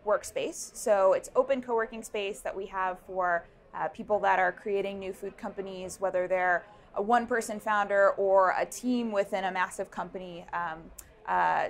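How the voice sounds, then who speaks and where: Young woman, halting thoughtful interview talk. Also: mid-range voice, fairly even intonation. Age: young adult. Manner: thoughtful, many ums and restarts. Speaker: startup founder. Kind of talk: interview answers. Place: busy indoor networking event. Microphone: lapel mic.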